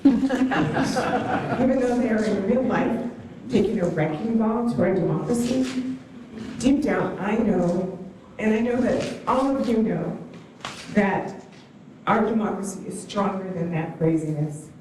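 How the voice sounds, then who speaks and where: Soft-spoken woman in an echoey hall. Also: medium pitch, unhurried, long pauses, slight background noise. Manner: earnest, soft-spoken, rousing. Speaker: town hall speaker. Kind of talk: prepared speech at a podium. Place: large hall on stage. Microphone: distant stand mic, room pickup. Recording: echoey hall heard from far off.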